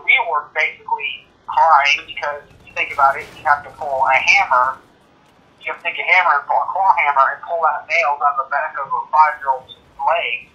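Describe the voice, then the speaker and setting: A man's voice: fairly high pitch, pushed and bright, lo-fi sound. A man telling a casual, rambling anecdote as a guest, often repeating and restarting his phrases. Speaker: guest storyteller on a gaming channel.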